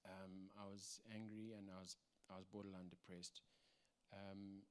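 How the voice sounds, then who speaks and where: A man in his thirties with a South African accent, giving a personal church testimony in a halting way with many ums and restarts. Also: low-pitched, level tone, clear, resonant tone. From South Africa, Cape Town.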